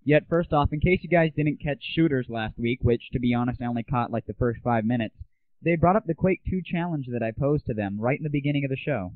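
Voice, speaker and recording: A man's voice: medium pitch, fairly quick, dry recording. A man hosting his own show, talking to listeners in a casual, chatty way. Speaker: radio show host. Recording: lo-fi dry recording.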